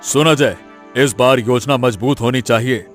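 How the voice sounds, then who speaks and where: A man's voice: mid-range voice, unhurried, faint music behind, lightly acted. A man playing a greedy, scheming village strongman in a cartoon, commanding and threatening.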